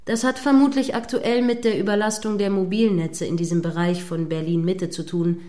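A stern female news presenter in a radio play, acted by a woman. She has a mid-range voice and speaks in formal, well-articulated sentences. She comes across as prim, combative and sceptical, quick to correct. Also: mid-range voice, steady tone, dark tone, close to an everyday voice. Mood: sceptical, reproving.

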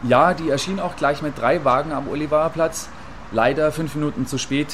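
A man's voice: fairly high pitch, moderate pace, a bit bright, slight background noise, close to an everyday voice. A man playing an eager, deferential field reporter in a radio play, giving a slightly hesitant, chatty eyewitness account. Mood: reporting. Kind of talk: satirical radio play as live news report.

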